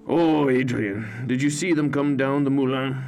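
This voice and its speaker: Gruff, defiant old grandpa with a grumbling, teasing manner , put on by a male narrator, voice a touch higher than the reader's own and oddly colored. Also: normal speed, darkish tone, soft background music, close to an everyday voice.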